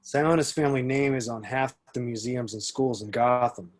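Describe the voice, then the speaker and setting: A man's voice: medium pitch, normal speed, natural voice. Man reading stern, skeptical police captain. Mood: stern, dismissive. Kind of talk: amateur online table read.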